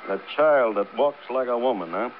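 A man's voice: mid-range voice, moderate pace, natural voice. An American man acting a talkative, tough construction crew boss in a vintage radio drama, with slangy, clipped, breezy tough talk. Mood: wry. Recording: muffled, noisy vintage radio recording.

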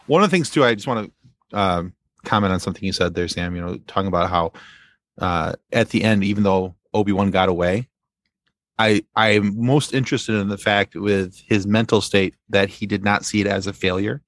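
A man as a podcast guest, chatting casually about fandom in halting phrases. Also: fairly low pitch, a bit bright. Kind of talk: casual fan discussion.

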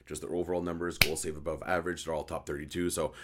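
A man with a hoarse voice hosting a casual live sports chat show, joking and thinking aloud as he answers viewers. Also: very deep voice, little pitch movement, fast talker, background noise. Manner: joking, conversational, thinking aloud with repeated words. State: hoarse voice after a night outdoors. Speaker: host of a hockey post-game recap show.

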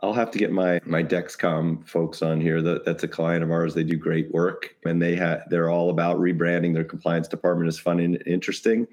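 A man's voice: low voice, little pitch movement, normal speed, hardly any pauses, a little mellow. A man talking casually as a podcast guest, brainstorming ideas in a rambling way with jokey asides. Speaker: podcast guest, creative compliance consultant.

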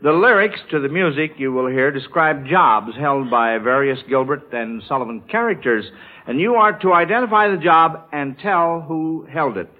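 Male children's quiz host, encouraging and a little halting, lightly raised pitch, tinny old broadcast. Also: lively intonation. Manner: hesitant, encouraging, repeats himself. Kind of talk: hosting a children's radio quiz. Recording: tinny narrow-band vintage radio broadcast.

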